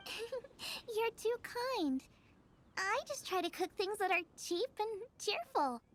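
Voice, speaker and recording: A woman's voice: very high-pitched, big pitch swings, takes it slowly, slight background noise, full character voice. A woman playing a spirited, stubborn young chef in a video game, with a girlish, youthful voice. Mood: modest. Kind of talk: animated video game voice acting.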